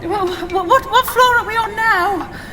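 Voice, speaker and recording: Woman acting a very shrill, panicking girl. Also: measured pace, background noise. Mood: panicked, tearful. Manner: wailing, tearful. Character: hysterical, panicking young woman in a disaster. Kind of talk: audio drama acting. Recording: loud music behind.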